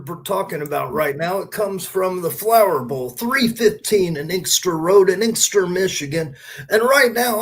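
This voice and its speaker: A man's voice: high voice, slightly rough. A man hosting a casual live podcast, with a showy, announcer-like way of talking. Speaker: podcast host.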